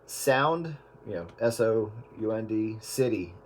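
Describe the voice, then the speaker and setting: A man chatting casually on a radio talk show, telling an anecdote in a relaxed, conversational way. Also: on the low side, slow, heavy background noise. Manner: anecdotal storyteller.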